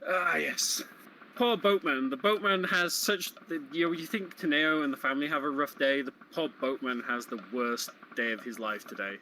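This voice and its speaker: A man's voice: a little high, moderate pace, a little hiss or hum, low-quality recording. A man giving casual running commentary on a video game, explaining how it plays. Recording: game music under the voice. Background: loud game music.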